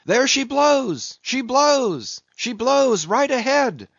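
A man voicing an excited ship's lookout in an audiobook, calling out in long cries. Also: very high-pitched, gravelly, no room sound, muffled recording, pitched above the performer's own voice, exaggerated voice. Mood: urgent excitement. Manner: drawn-out calling out. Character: excited male masthead lookout hailing a whale. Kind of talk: audiobook character voice.

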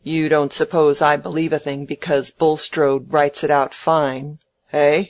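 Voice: mid-range voice, little pitch movement, unhurried, natural voice. A narrator acting a snappish, domineering old man. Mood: mocking, contemptuous. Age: elderly. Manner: curt, emphatic, sneering, barking orders. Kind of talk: dramatised audiobook character.

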